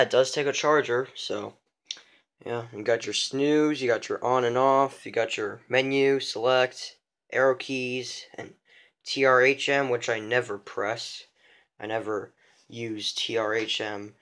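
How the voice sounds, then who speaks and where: A man's voice: medium pitch, a bit bright. A man giving a casual, unscripted show-and-tell of a hobby collection, rambling and repeating himself.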